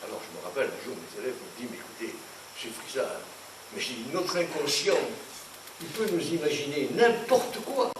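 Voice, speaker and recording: A man's voice: mid-range voice, brisk. An older man from France, a painter, giving a rambling, indignant talk to an audience, full of asides and anecdotes. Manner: animated, indignant, digressive storyteller. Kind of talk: rambling lecture. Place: conference hall. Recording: echoey room, distant mic, noisy.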